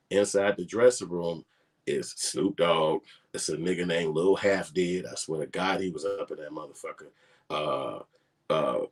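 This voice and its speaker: Deep dude in his 40s, kinda husky, cussing and telling old stories real laid back. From Chicago. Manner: profane, laid-back storytelling with street slang. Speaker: filmmaker vlogger. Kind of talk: casual storytelling.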